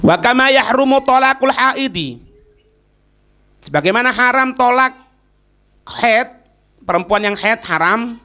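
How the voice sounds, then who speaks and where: A man's voice: very high voice, animated, unhurried, pauses often, bright, forceful tone. A man teaching as an Islamic preacher, didactic and emphatic, often posing short questions and answering them himself. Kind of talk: religious lesson, explaining an Arabic text phrase by phrase.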